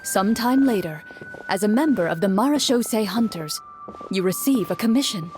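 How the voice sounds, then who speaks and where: A woman voicing a composed young game master in a video game, explaining and narrating in a measured, formal way over music. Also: medium pitch, expressive, darkish tone, lightly acted. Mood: solemn storytelling. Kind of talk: scripted game voice acting, storytelling narration.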